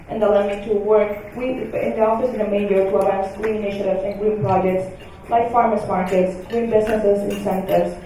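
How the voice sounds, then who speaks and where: An earnest young woman from the Dominican Republic speaks on a conference panel, English her second language after Spanish. Her voice is mellow and warm-toned. It carries with heavy echo from a distance across a large hall. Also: mid-range voice, a little hiss or hum. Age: young adult. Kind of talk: panel discussion. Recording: echoey hall, mic heard from a distance.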